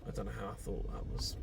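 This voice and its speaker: A man with a British accent playing a heartbroken thirty-something leaving an abusive partner, talking in a halting, self-deprecating, confessional way in an intimate roleplay. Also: natural voice. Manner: halting, self-deprecating, rambling with sighs and nervous laughs. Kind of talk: intimate ASMR boyfriend roleplay, confessional monologue. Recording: traffic and outdoor noise behind voice. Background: passing vehicles, outdoor ambience.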